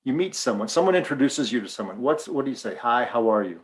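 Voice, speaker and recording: A man's voice: medium pitch, fast talker. Storytelling man with faint Western Canadian accent. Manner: animated storyteller, acts out dialogue. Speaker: podcast co-host. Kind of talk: casual podcast chat.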